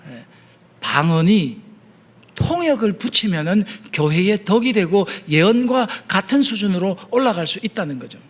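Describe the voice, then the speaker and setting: A man with a Gyeongsang accent preaching a sermon as the pastor, earnest and explaining step by step, often pausing on fillers. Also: high voice, big pitch swings. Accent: Gyeongsang Korean. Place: church sanctuary.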